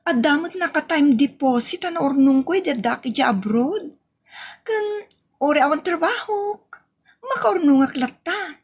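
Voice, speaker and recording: A woman's voice: high voice, expressive, measured pace. A woman with a northern Philippine accent playing a jealous, nagging, whiny young wife in a comedy audio drama. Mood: defensive.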